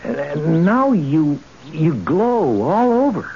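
Stammering, creaky nervous man. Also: fairly high pitch, sing-song, darkish tone, exaggerated voice. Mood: puzzled, nervous. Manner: halting, stammering. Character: nervous, awestruck male neighbour. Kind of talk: 1970s radio drama acting. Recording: dull, hissy old radio recording.

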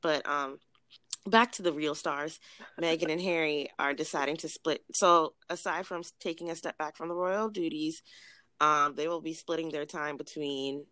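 A woman co-hosting a podcast in casual chat, blunt and profane, her voice a little raspy from cigars. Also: low voice, steady tone, normal speed, pushed and bright, no echo. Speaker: podcast co-host.

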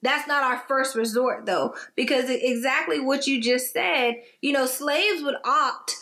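A pregnant woman co-hosting a casual talk show, animated, laughing often and acting out what people say. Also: sits high, unhurried. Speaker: podcast co-host. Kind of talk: casual conversational interview.

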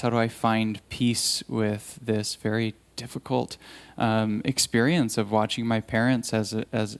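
A man, a guest musician, speaking casually and personally in a halting, earnest, reflective way. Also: lowish voice, clear, resonant tone.

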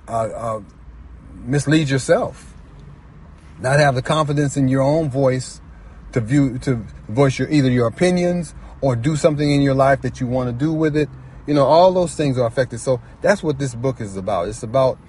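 A man's voice: a little high, breathy edge. A man speaking as a guest author, talking reflectively and conversationally about his own writing, repeating phrases for emphasis.

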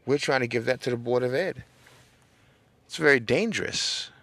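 A middle-aged man with a smooth voice hosting a political podcast, talking straight to his listeners and building into an emphatic, preacher-like cadence. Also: mid-range voice, moderate pace, a bit bright, no echo. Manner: addresses listeners directly, seeks call-and-response. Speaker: podcast host. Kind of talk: casual political podcast monologue.

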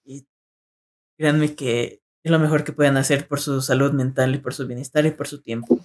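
A man's voice: medium pitch, flat delivery, moderate pace. Young man giving advice. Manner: addresses listeners directly, gives advice. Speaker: podcast co-host. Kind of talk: casual advice chat.

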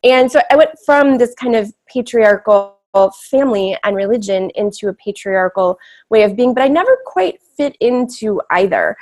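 A woman's voice: fairly high pitch, animated, moderate pace, darkish tone. A woman with a big voice, giving candid, reflective interview answers drawn from her own experience. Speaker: interview guest.

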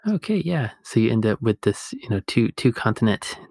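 A man's voice: on the low side, very animated, normal speed, vocal fry, darkish tone, no room sound, studio quality. A man interviewing a guest, asking long, hedging questions full of "you know" and "kind of.". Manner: hedging, rambling questions with many fillers. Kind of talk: conversational interview.

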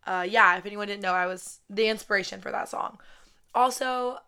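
A woman in her twenties hosting her own podcast, talking casually with a raspy voice after a weekend wedding, swearing often and saying "like" constantly. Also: mid-range voice, normal speed, bright, forceful tone. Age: 20s. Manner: chatty, swears a lot, says "like" constantly. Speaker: podcast host. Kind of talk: casual solo podcast chat. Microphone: podcast microphone, close.

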